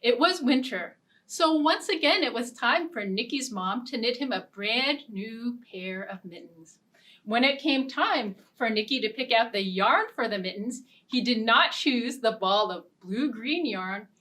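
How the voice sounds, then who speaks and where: Slow, sing-song woman reading to kids. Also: fairly high pitch, recorded from a distance. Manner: gentle, sing-song and expressive. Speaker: children's librarian. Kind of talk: children's storytime with songs and ukulele.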